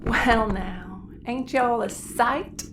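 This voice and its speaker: A woman's voice: a little high, extremely slow. A woman playing a folksy, cheerfully cruel cannibal with a Southern US accent in a sci-fi audio comedy. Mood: folksy menace. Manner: folksy drawl, matter-of-fact menace. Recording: roomy, noisy ambience.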